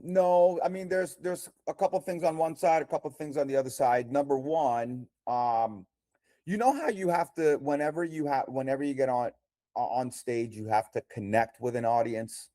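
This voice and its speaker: Colombian man with a mellow, dry voice. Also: medium pitch, normal speed, no room sound. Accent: Colombian Spanish. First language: Spanish. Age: 40s. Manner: confident, practical, animated advice. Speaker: guest comedian. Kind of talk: casual livestream chat.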